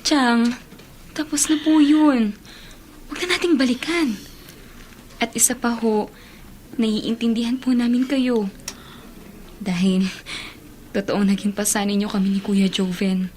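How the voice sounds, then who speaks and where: An actress playing a gentle, forgiving, respectful young niece in a Filipino radio drama, speaking soothingly. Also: female voice, on the high side, steady tone, unhurried, long pauses, a little hiss or hum, natural voice.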